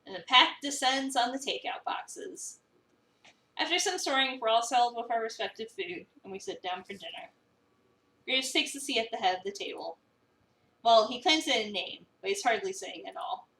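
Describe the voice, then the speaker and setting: High, lively woman narrator. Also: normal speed. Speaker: narrator, streamer. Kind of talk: expressive first-person story narration, dramatic reading. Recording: roomy, echoey, distant mic.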